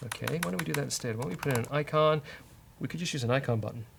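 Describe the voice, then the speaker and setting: A man talks through code in a casual, bantering way, thinking aloud as he works. His voice sits at a middling pitch, with typing clicks and light noise behind it. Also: racing, creaky. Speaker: host of a coding show. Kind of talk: casual live-coding talk with a co-host.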